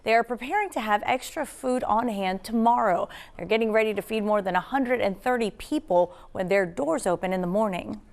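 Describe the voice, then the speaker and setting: A woman's voice: medium pitch, a little fast, gravelly, hardly any pauses. An American woman reading news as a TV anchor.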